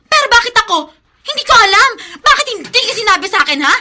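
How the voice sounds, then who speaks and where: An actress playing a haughty, hot-tempered young heiress in a melodramatic radio drama, shrill, demanding and distraught. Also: female voice, big pitch swings, fast, sharp tone, heavily acted. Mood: tearful, hysterical.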